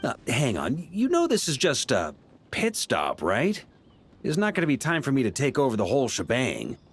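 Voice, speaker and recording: An actor playing an easygoing, roguish knight commander in a video game, casual and teasing but caring. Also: male voice, medium pitch, huge pitch swings, moderate pace, slightly rough, heavily acted. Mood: reluctant. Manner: casual, breezy, laughing. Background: music.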